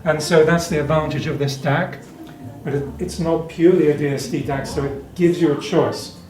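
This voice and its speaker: A man's voice: fairly high pitch, fairly even intonation, normal speed. A man giving an informal, detailed product demonstration as an exhibitor, explaining technical points. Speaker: exhibitor presenting hi-fi equipment. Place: indoor hi-fi show demonstration room. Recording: roomy show demo room with music playing. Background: music playing.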